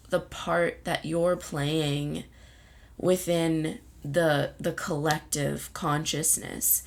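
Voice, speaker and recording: A woman hosting a spiritual card reading in a gentle, meandering way, often searching for words, with a voice that keeps going in and out. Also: low-pitched, creaky. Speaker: intuitive card reader and channel host.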